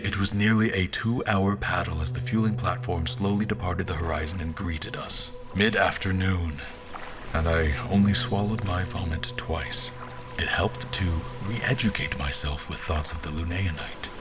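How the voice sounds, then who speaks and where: Actor as a very deep-voiced formal officer narrator. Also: male voice, over music, close to an everyday voice. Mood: measured, reflective. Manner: literary, measured, formal. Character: formal, seasick royal officer narrating his voyage. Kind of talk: first-person scripted audio drama narration.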